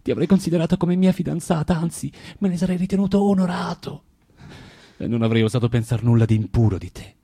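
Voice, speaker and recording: An actor playing a bitter, tormented man in a dramatic theatrical reading for radio, moving between hushed murmurs and outbursts. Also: fairly high pitch, fast, gravelly, slightly rough. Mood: hushed, reproachful. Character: bitter, tormented man, preaching and cruel, then remorseful.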